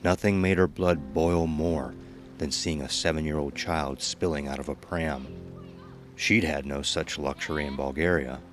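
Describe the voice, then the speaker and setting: A man's voice: extremely low pitch, steady tone, moderate pace. A man giving scripted narration of a horror story as its narrator. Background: music.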